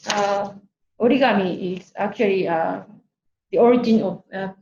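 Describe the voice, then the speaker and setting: Woman, Japanese accent, native Japanese speaker, hesitant online presenter, creaky voice, noisy roomy recording. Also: medium pitch, moderate pace, mellow, muffled recording. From Tokyo, Japan. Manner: hesitant, searching for words in a second language. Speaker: workshop speaker. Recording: roomy echo, clear background noise.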